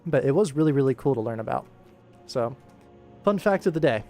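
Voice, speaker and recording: A man's voice: medium pitch, expressive, brisk, mellow, faint music behind. A young man rambling casually as he hosts a live work stream and talks through his animation work. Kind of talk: casual rambling livestream commentary while working.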